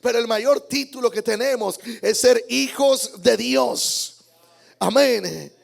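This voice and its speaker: A man's voice: very high-pitched, animated, slow, creaky. A male pastor preaching a Sunday sermon in church, emphatic, repeating key words and calling out amens. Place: church service.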